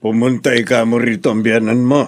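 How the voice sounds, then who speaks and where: A man's voice: medium pitch, level tone, a little fast, faint music behind, close to an everyday voice. An actor playing a patient middle-aged father giving earnest advice in a radio drama. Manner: patient, advising. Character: patient, counselling Filipino father of a grown daughter. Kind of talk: Tagalog radio drama acting.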